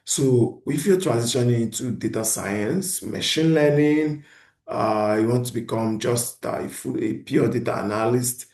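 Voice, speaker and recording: A man's voice: mid-range voice, unhurried, echoey room. A man lecturing beginners, often asking a question and then answering it himself. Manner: animated teacher who poses questions and answers them himself. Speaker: instructor.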